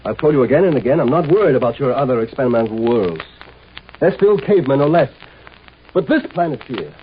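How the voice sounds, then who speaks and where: A galactic military marshal for a 1950s radio drama. He is curt, impatient and used to command. The old recording is very thin and narrow, with faint noise. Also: a little high.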